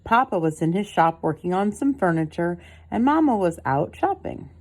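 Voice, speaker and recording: An older woman with a low voice reads a picture book aloud at bedtime. She is expressive and breaks off now and then to chat casually to the listening child, as a grandmother would. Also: normal speed. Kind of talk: bedtime story reading with casual asides to a child.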